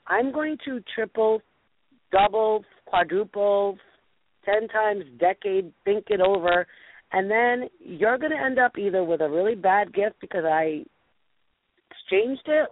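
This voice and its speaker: A woman hosting a casual talk show, deep-voiced and bubbly, gushing and peppering her talk with "like". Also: no room sound. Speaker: host. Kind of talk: casual chat show banter. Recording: tinny phone-line radio sound.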